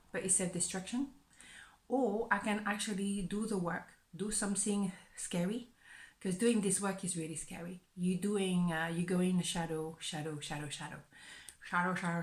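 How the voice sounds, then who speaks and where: A woman giving a casual, playful tarot reading, chatty and laughing often, sometimes dropping to a whisper. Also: medium pitch. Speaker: tarot reader. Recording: echoey room.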